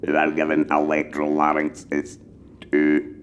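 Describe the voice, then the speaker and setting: A man speaking through an electrolarynx with a buzzing, mechanical monotone, carefully enunciating as he explains and gives advice in measured phrases. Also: extremely low pitch, a little hiss or hum. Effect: mechanical electrolarynx vibration. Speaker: patient mentor for electrolarynx users. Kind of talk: explanatory talk giving advice.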